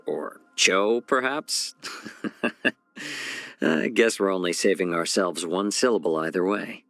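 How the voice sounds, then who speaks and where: Game role: genial fox-man chef and physician, smooth, slightly low, wry and measured, dry close sound. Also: no echo, exaggerated voice. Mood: playful, teasing. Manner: measured, wry, gently teasing. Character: genial, sly fox-man healer and chef, soft-spoken advisor. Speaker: video game character. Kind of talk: scripted game character voice lines.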